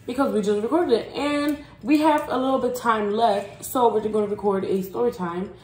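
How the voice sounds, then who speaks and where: A woman telling a story as a vlog co-host in casual, bantering conversation, quick to correct and interject. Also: on the high side, a little mellow. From Puerto Rican family. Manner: informal, bantering, often correcting co-speaker. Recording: echoey room, clear background noise, clicks.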